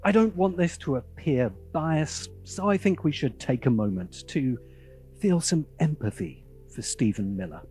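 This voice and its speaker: A man's voice: a little high, lively intonation, measured pace, dark tone. A man hosting a scripted video essay, narrating with sardonic, dramatic emphasis. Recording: music playing behind the voice.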